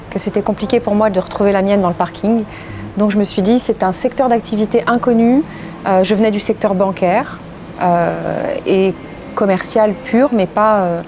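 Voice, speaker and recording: Frenchwoman in a measured interview, noisy background. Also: mid-range voice, warm tone. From France. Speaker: interviewee, corporate legal and compliance executive. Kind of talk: interview answers.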